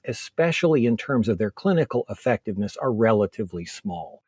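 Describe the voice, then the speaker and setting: A man speaking as a medical expert in an interview, explaining clinical guidance in a measured, methodical way. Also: mid-range voice, a little mellow, no room sound, clean studio sound.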